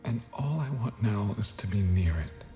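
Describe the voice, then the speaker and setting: Guy acting a lovestruck young water creature from a cartoon, soft mellow voice, emotional, echoey with faint music. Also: fairly low pitch, expressive, normal speed, natural voice. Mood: tender, heartfelt. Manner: earnest, emotional. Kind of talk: animated film dialogue. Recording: roomy, reverberant film audio with music.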